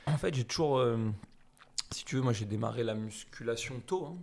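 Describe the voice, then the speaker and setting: A man's voice: mid-range voice, a little fast, vocal fry, a little mellow. A man in his thirties from France, chatting casually as an interview guest, rambling and self-deprecating with lots of fillers.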